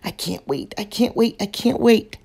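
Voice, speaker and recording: A woman voicing an eager little boy bear cub who talks in excited, breathy whispers. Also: mid-range voice, moderate pace, voice pushed up.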